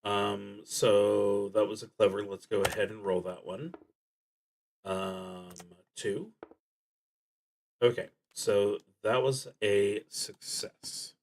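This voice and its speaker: A man streaming a solo tabletop game, thinking aloud in a halting, deliberate way and often trailing off. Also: fairly low pitch, steady tone, a little mellow, slight background noise. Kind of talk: thinking aloud while playing a solo card and dice game.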